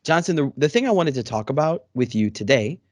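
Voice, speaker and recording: A man's voice: mid-range voice, lively intonation, fairly quick, a little mellow, dry recording. A man hosting a casual interview over a video call, friendly and rambling with many fillers. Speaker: host. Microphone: studio microphone.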